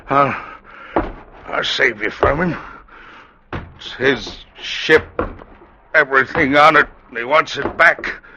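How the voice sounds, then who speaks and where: A frantic, guilt-crazed ship captain. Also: male voice, medium pitch, creaky, sharp tone, heavily acted. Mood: desperate. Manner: frantic, rambling, melodramatic. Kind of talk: 1940s-50s radio drama acting. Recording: dull, noisy vintage radio recording.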